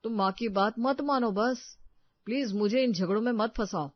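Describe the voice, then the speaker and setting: A man's voice: sits high, moderate pace, dry recording, dull audio, natural voice. A man playing a weary young husband, dismissive and placating, in a family drama story.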